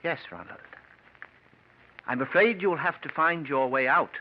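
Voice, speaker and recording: A man acting as a bitter, scheming thespian from a golden-age radio thriller. His fairly high male voice is measured and insinuating, with cool menace under the courtesy. The old recording is dull, with some hiss and noise. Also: lightly acted. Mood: cold gloating. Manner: measured, insinuating, falsely polite delivery. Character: scheming, bitter male actor, coolly menacing beneath politeness. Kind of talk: 1940s-50s radio drama acting. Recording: muffled, noisy vintage radio recording.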